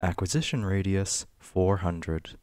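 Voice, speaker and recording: A man reading reference text aloud for ASMR in a measured, list-like way, with pauses between items. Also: low-pitched, darkish tone, no room sound, clean studio sound. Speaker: ASMR reader. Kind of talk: ASMR reading of a game wiki page.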